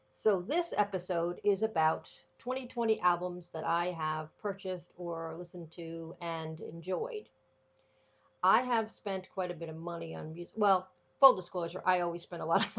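Lady podcasting with a stuffy cold, low gravelly voice, kinda dull lo-fi sound, lots of ums. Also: a bit bright. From Boston, Massachusetts. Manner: chatty, frequent ums and uhs. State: congested from a cold. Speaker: podcast host. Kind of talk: casual podcast monologue.

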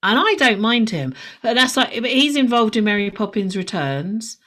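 A woman's voice: on the high side, expressive, moderate pace, fairly bright tone. A woman in her forties co-hosting a podcast, chatting casually, laughing often and swearing freely. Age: 40s. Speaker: podcast co-host. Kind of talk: casual chat.

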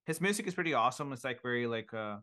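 Smooth-voiced man from Sri Lanka. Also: mid-range voice, lively intonation, sharp tone. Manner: halting, many ums and uhs. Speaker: guest sharing his faith story. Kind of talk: casual faith testimony.

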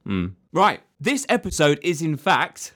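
A man's voice: on the high side, huge pitch swings, measured pace, fairly bright tone. A young man co-hosting a podcast, playfully leading the chat and laughing along while nursing a cold. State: has a cold. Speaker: podcast co-host. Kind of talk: casual chat.

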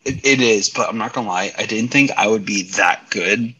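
A young man with a hoarse voice, chatting casually and recounting things in a joking, self-deprecating way. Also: lowish voice, normal speed, bright, forceful tone, low-quality recording. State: hoarse from yelling. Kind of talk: casual chat, recounting a weekend.

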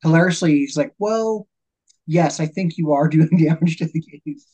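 A man with a somewhat high voice hosts a podcast. He talks in a casual, conversational way, as in an informal interview. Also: normal speed, dark tone, husky, highs cut off. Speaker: podcast host.

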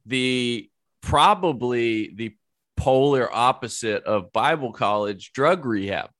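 A man's voice: mid-range voice, expressive, slow pace, a bit bright, no room sound. A man hosting a podcast in a wry, self-deprecating, casual way. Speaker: podcast host. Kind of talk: casual chat.

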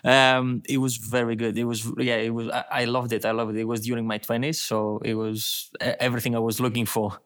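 A man's voice: mid-range voice, level tone, brisk, few pauses, fairly bright tone, clean tone. Easygoing man with a slight Greek accent. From Greece. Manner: easygoing, laughs often, hesitant fillers. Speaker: chef, interview guest. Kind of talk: casual interview.

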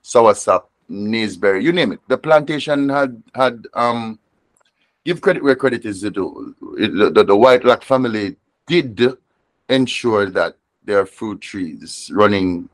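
A man's voice: on the low side, animated, measured pace, dry recording. A Jamaican man, a reggae artist, talking reflectively in an interview, often restarting and repeating words as he gathers his thoughts. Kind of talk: conversational interview.

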